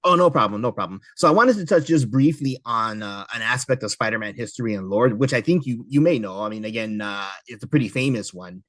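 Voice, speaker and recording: A man's voice: mid-range voice, expressive, brisk, hardly any pauses, a bit bright, no room sound. A man hosting a podcast, chatting casually and explaining things in a conversational way. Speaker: podcast host. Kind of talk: casual chat about comic books.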